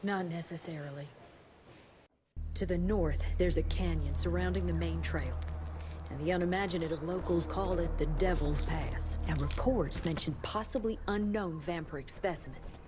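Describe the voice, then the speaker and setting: An actress playing a composed female doctor who leads an agency cell, speaking in a calm, measured, formal way. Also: fairly low pitch, over music, natural voice. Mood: briefing. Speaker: cutscene character.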